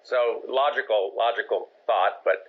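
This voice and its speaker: A man from Japan, a film producer, answering interview questions in a relaxed, storytelling way with many fillers. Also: fairly low pitch, measured pace. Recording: dull lo-fi podcast audio.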